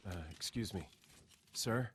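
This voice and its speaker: An actor playing a cool, wry young American government agent in a video game cutscene. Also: male voice, lowish voice, unhurried, a bit bright, a little hiss or hum. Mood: polite, businesslike. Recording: game audio with music behind.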